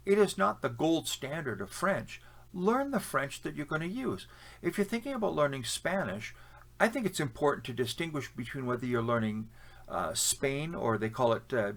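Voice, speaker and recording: A man's voice: medium pitch, fairly bright tone. A man with a Canadian accent, giving opinionated, conversational advice to camera with frequent fillers and restarts. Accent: Canadian English. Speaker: language-learning vlogger. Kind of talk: casual advice talk to camera.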